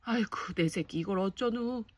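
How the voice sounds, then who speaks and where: A woman voicing a cruel, two-faced stepmother in a storybook, with sharp, spiteful scolding. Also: medium pitch, moderate pace, dry recording, lightly acted. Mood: fake concern.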